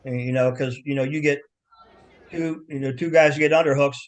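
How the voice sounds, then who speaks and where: An older man, a longtime wrestling referee, explains his calls in casual talk. His voice sits slightly high for a man, and his answers come in halting bursts full of "you know"s and restarted words. He describes his voice as loud and carrying. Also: fairly even intonation, rough recording. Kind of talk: casual interview.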